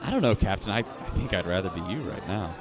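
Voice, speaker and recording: An actor playing a patient, reassuring radio reporter in a sci-fi drama, slightly hesitant. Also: male voice, sits low, very animated, fast talker. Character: patient radio reporter gently humoring his captain. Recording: busy crowd chatter behind.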